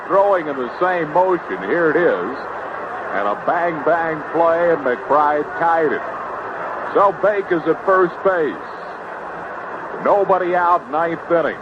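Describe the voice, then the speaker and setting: An American man calling baseball play-by-play in clipped, terse phrases. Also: on the high side. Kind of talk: live sports commentary. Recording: noisy, dull 1970s radio broadcast tape.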